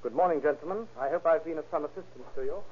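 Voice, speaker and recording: Brisk, formal gentleman witness. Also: fairly high pitch, slightly rough. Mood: courteous. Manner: formal, measured wording. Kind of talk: 1930s radio acting. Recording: muffled old radio recording.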